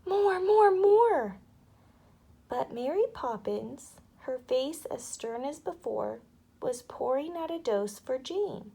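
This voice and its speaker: Slow, creaky woman reading a storybook. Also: high voice. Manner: expressive, dramatic. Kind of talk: read-aloud children's story narration.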